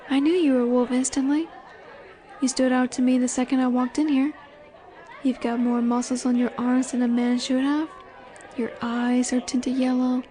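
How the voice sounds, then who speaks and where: A woman's voice: high-pitched, mellow. A woman playing a confident, flirtatious werewolf alpha in a roleplay audio drama, teasing and seductive in her delivery. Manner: teasing, seductive, slow and assured. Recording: bar chatter behind the voice. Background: bar ambience with crowd murmur.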